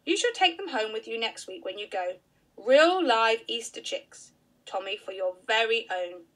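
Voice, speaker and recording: A woman voicing a busy, kindly aunt in a children's story, speaking briskly and kindly. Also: fairly high pitch, animated, a bit bright, poor audio.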